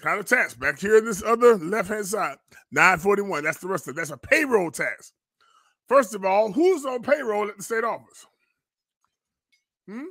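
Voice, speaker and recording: Fiery, high-pitched male preacher. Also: lively intonation, normal speed, long pauses, a bit bright, no room sound, studio quality. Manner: indignant, emphatic, repeats phrases, rhetorical questions. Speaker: pastor and online show host. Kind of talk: fiery live-stream commentary, preacher's cadence.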